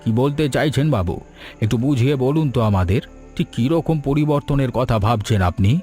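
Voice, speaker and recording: A man's voice: medium pitch, animated, brisk, mellow, faint music behind, close to an everyday voice. A man voicing a humble, proud old grandfather who runs a food stall, speaking deferentially in a cartoon story. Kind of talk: animated cartoon story dialogue.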